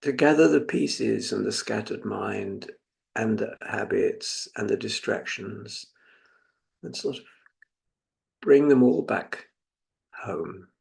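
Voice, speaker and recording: An elderly British man in his seventies teaches meditation. His voice is heavily creaky, full of fry, and he moves very slowly from phrase to phrase. He guides reflectively, leaving pauses as he gives gentle instruction. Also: lowish voice. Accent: British English. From England. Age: 70s.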